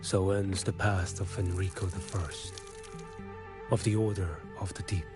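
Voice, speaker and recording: A man's voice: deep voice, fairly even intonation, a little slow. An actor playing a solemn, ancient male arbiter who pronounces judgment in stately, ceremonial video game dialogue. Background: game music.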